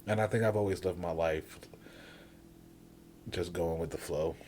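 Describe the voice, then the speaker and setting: A man in his thirties co-hosting a podcast, musing in a laid-back casual way that now and then breaks into loud laughing rants. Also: low-pitched, steady tone, measured pace, a little hiss or hum. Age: 30s. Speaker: podcast co-host. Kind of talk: casual chat.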